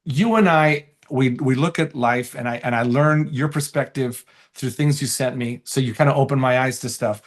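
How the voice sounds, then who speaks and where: A man's voice: mid-range voice, moderate pace. A man chatting casually as a comedian guest in an interview, telling stories and slipping into quoted voices. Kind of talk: casual interview chat with anecdotes.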